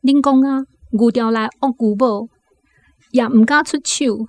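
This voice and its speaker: A woman narrates a radio drama in a Taiwanese accent. Her voice sits fairly high, and she tells the story at a very unhurried pace. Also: steady tone, a little mellow, dry recording.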